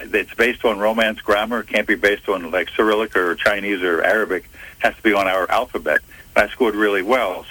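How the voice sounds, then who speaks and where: An older man from Philadelphia, a retired FBI agent, answering interview questions in a measured way, often correcting himself. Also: lowish voice, bright, forceful tone, husky, no room sound, rough recording. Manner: measured, self-correcting storyteller. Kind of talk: interview answers, recounting career.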